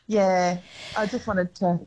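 A woman's voice: mid-range voice, steady tone, takes it slowly, darkish tone, dull audio. An Australian woman from Western Australia calling a radio show, talking hesitantly with many ums. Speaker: caller.

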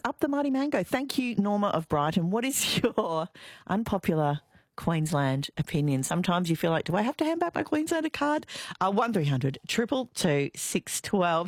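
Australian woman, radio host, chatty, mid pitch, very lively intonation, faint music under. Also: no echo, clean studio sound. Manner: chatty, lively, reads phone numbers and clues aloud. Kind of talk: talkback radio hosting. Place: radio studio. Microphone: studio mic.

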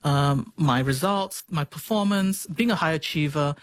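A woman's voice: sits low, a little slow, dry recording. Singaporean woman with a close, dry sound. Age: 40s. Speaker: interview guest, doctor. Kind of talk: reflective personal interview.

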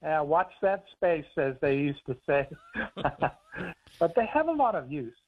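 American man, phone guest on radio, easygoing explainer, slightly high voice, thin phone-line sound. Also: big pitch swings, measured pace, slightly rough. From United States. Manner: easygoing, explanatory, chuckles now and then. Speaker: author and conservation biologist on the phone.

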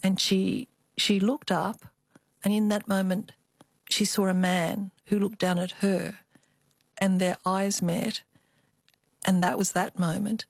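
An older woman with an Australian accent, telling personal memories as an interview guest in a thoughtful, expressive way. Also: medium pitch, slow pace, vocal fry, pauses often, no echo. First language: Cantonese. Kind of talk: reflective personal storytelling.

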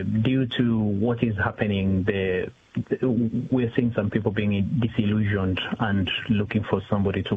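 A middle-aged man giving spontaneous interview answers, haltingly, repeating words as he thinks. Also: on the low side. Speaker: interview guest, community executive officer. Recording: tinny phone line with hiss.

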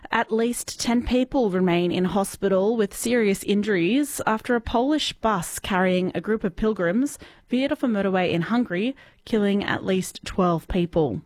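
A woman's voice: medium pitch, normal speed, dry recording. Australian woman reading radio news. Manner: loud voice, cheerful banter. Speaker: newsreader. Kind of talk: read news bulletin and studio chat. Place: radio studio. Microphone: studio microphone.

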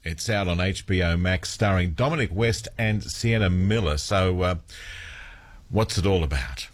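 A man's voice: sits low, moderate pace. A man hosting an afternoon radio show, asking easygoing questions. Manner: relaxed, easygoing questions with fillers. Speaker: radio host. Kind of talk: casual radio chat.

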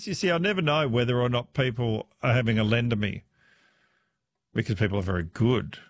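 Man, Australian accent, radio talkback host, chatty, animated pitch, dry close sound. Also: no room sound. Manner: chatty, reads out listener messages. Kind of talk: casual talkback radio chat.

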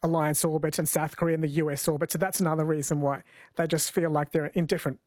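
A man's voice: a little high, flat delivery, quick pace, darkish tone, no echo, clean studio sound. A man hosting a radio interview, chatting with his guest and reading out listener texts in a conversational way.

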